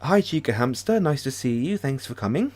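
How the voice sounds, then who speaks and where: A British man hosting a casual livestream, chatting hesitantly with many pauses and restarts. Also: medium pitch, big pitch swings, darkish tone. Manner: hesitant, lots of ums and restarts, friendly. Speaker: ASMR channel host.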